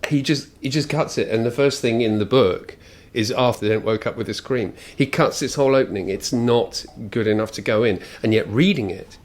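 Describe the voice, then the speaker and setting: A man's voice: medium pitch, moderate pace. A British man giving commentary in reflective, conversational speech.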